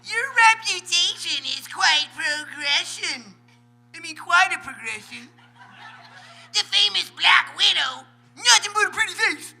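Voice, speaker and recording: A man's voice: extremely high pitch, lively intonation, measured pace, creaky, sharp tone, faint music behind, exaggerated voice, low-quality recording. A man voicing a dim, blustering, volatile thug boss in a manic cartoon style for a live comedy reading. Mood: smug.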